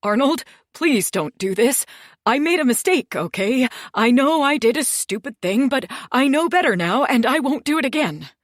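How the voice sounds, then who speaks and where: A woman putting on a panicked man begging in a high, loud, tearful voice that races ahead. The sound is dry and up close. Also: lively intonation, dry recording. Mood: desperate pleading. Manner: high, loud, fast, blubbering pleas. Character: terrified pleading man begging for his life.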